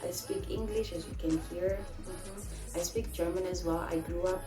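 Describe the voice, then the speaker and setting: A woman's voice: lowish voice, steady tone, normal speed, roomy sound, over music. A woman in her twenties from Namibia, answering viewer questions in a casual, chatty vlog and telling stories with many fillers. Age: 20s. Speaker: vlogger.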